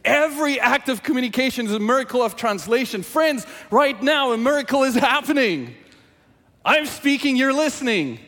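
A South African pastor, native Afrikaans speaker, preaching with a fairly high voice. He engages his listeners with lively questions, and his voice rings with the long reverb of a large hall. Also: moderate pace. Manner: animated, engaging the audience with questions. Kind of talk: sermon with scripture reading.

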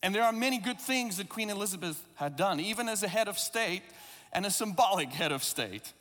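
A man's voice: high-pitched, expressive, a little fast, a bit bright, long reverb tail. A man from South Africa, English not his first language, preaching a sermon in a reflective, conversational way with a few self-deprecating asides. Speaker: pastor.

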